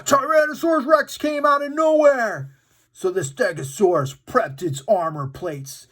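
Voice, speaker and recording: A man's voice: very high voice, unhurried, a bit bright. A man hosting a children's story time, reading aloud in an enthusiastic, playful and dramatic way with casual asides to his young viewers.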